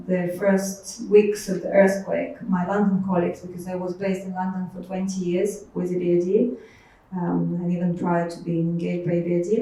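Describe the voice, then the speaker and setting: A woman's voice: on the low side, dark tone. A middle-aged woman from Ukraine telling a personal story as a panellist. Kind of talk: personal anecdote to an audience. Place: indoor panel event. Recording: very echoey room, distant mic.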